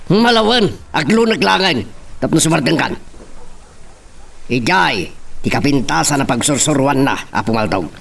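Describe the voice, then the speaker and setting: Man acting excitable Filipino husband, swooping voice. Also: medium pitch, normal speed, gravelly, pauses often. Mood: defensive. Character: excitable husband and father, exclaiming, defensive. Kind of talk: Ilocano radio-style drama acting. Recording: distant mic, faint background noise.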